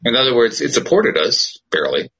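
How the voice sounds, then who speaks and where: A man's voice: medium pitch, sing-song, a little fast, sharp tone, husky, poor audio. A middle-aged man, a podcast host, talking earnestly and reflectively in a casual conversation.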